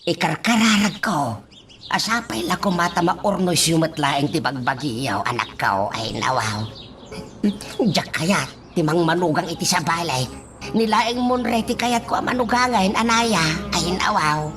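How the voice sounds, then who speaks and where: A woman playing an emotional mother in a radio drama, who sighs and exclaims often and speaks slowly over faint music. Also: fairly low pitch, close to an everyday voice. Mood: pleading. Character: older mother fretting over her son. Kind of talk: amateur radio drama acting.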